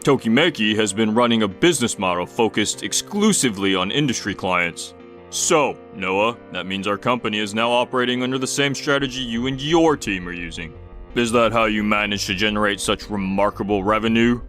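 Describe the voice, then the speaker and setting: A man voicing a measured, businesslike company boss in a dubbed comic drama. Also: lowish voice, a bit bright, soft background music, lightly acted. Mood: considering. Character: measured, businesslike male company boss, middle-aged or older.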